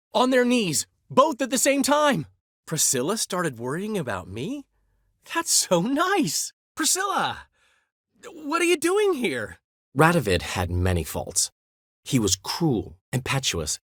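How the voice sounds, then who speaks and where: Vain witty game bard, flowery talk, voice swooping all over, dry booth sound. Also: male voice, fairly high pitch, fairly bright tone, breathy edge, no echo, heavily acted. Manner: flamboyant, florid speech. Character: vain, witty male bard and poet, theatrical. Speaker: game character. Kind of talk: video game voice acting. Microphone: dry studio recording.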